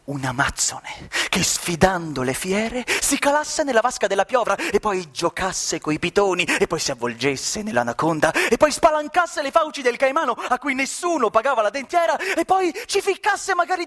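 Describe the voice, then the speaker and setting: A man's voice: very high voice, animated, normal speed, hardly any pauses, pushed and bright. A man narrating a story as a stage actor in a radio play, with a dramatic, ironic delivery that savours each description.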